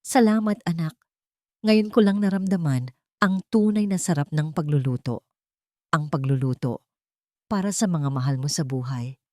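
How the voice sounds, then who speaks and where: A woman voicing an older mother-in-law, once haughty and now gentle and soft-spoken. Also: low-pitched, moderate pace, long pauses, dry recording, clean studio sound, natural voice. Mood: grateful.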